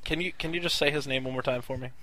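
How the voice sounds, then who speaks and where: A man's voice: mid-range voice, steady tone, very fast, sharp tone, no echo. Wry male podcast co-host, dry sound. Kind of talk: casual sports chat.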